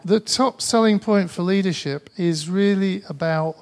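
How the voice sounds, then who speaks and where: Tired Mancunian man, slightly high voice. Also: a little slow. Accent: Mancunian English. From Manchester, England. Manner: hesitant, restarts often, conversational. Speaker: conference speaker. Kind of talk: presentation with audience Q&A.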